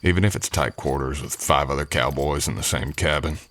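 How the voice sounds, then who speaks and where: A man playing a polite drifter cowboy hired on an Old West ranch, talking in an unhurried folksy drawl in an ASMR roleplay. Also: rumbling low, steady tone, fairly bright tone, a little hiss or hum. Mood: modest, good-natured. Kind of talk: ASMR roleplay acting, addressing the listener.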